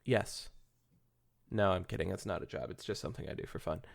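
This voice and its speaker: A man's voice: low voice, expressive, a little fast, vocal fry. A man hosting a casual comedy podcast, joking and rambling with some swearing. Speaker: podcast host.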